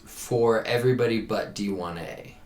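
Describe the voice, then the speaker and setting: A man's voice: lowish voice, normal speed, darkish tone. A man co-hosting a sports podcast, chatting casually as a coach recapping competitions and giving opinions. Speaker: cheerleading podcast co-host and coach. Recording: roomy, echoey sound.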